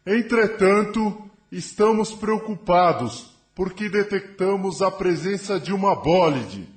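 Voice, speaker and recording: A man acts out a solemn alien scholar in an audio drama. His voice is high for a man and very slow. He speaks formally and gravely, like an orator before a council. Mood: grave, concerned. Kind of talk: spiritist audio drama acting.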